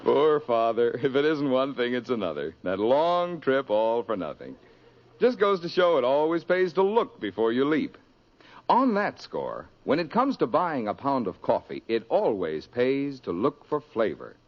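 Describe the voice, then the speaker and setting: An American man announces in 1950s radio sponsor style. His medium-pitched voice rises and falls in a lively way, and the old recording is muffled. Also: a little slow.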